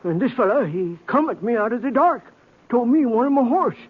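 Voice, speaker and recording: An actor playing a timid, henpecked older bank teller in a radio western, stammering nervously with a folksy American accent. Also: male voice, very high-pitched, lively intonation, fairly quick, slightly rough, full character voice. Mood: anxious, confessing. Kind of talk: old-time radio western acting. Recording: tinny, narrow-band old radio broadcast.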